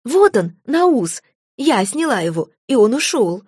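A woman reading an audiobook, voicing a fierce, defiant young red-haired woman who shouts in a husky voice. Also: on the high side, big pitch swings, measured pace, no echo, studio quality, voice pushed up, full character voice. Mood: triumphant.

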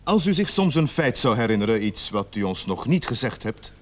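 A stern police sergeant in a radio play. He speaks formally and with authority, weighing his words, and his pitch swings widely as he questions and warns. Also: sing-song. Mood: commanding. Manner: authoritative, measured, formal interrogator. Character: stern police sergeant leading a murder investigation.

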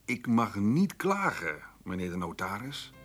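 An actor playing a blunt, money-minded farmer in a radio play, curt, suspicious and impatient. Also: male voice, lowish voice, very animated, a little slow. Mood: curt, wary. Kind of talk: radio drama dialogue.

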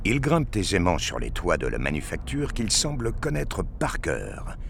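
A man's voice: deep voice, wildly swooping pitch, unhurried, vocal fry, background noise. A man reading scripted narration for an audio drama.

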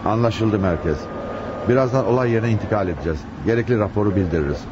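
A male actor playing a resolute, compassionate chief inspector in a radio play, speaking with measured authority. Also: fairly low pitch, lightly acted. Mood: grave, businesslike. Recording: muffled, noisy lo-fi radio drama recording.

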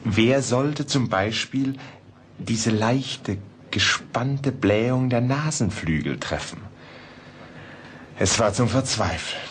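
An actor playing a cultured, reflective gentleman artist in a literary radio play, speaking in a measured, polite, contemplative manner. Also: medium pitch, a little hiss or hum, lightly acted. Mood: reflective, absorbed. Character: cultured, reflective Parisian gentleman sketching and writing letters. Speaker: narrator and character.

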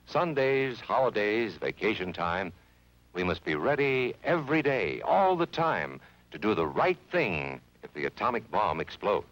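Ein Mann mit amerikanischem Akzent spricht den Kommentar eines alten Zivilschutzfilms. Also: male voice, fairly low pitch, very animated, unhurried, a bit bright, no room sound, low-quality recording. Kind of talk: archival American civil defense film narration.

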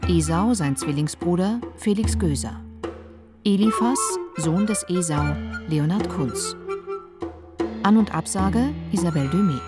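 A woman's voice: lowish voice, lively intonation, a little slow. A woman announcer reading scripted radio drama credits. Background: music.

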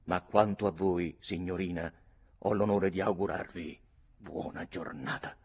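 A man's voice: sits low, a little slow, a little mellow, slightly rough, full character voice. A man voicing a big, haughty English army captain in a story, sarcastic and ceremonious. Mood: coldly formal.

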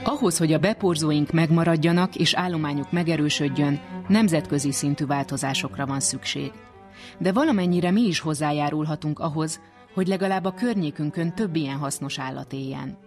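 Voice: mid-range voice, darkish tone, faint music behind. A radio reporter reading a scripted documentary narration.

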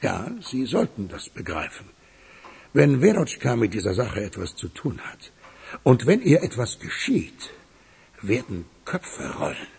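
An actor playing a menacing, imperious crime boss who gives orders in a radio crime drama. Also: male voice, mid-range voice, huge pitch swings, unhurried, creaky, a little mellow, breathy edge, full character voice. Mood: threatening.

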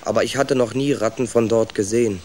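A man plays a young sewer rat narrating his own tale in a children's radio play. His scripted delivery is low-pitched, with faint ambience behind. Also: fairly even intonation, brisk, darkish tone, a little hiss or hum, close to an everyday voice. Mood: matter-of-fact.

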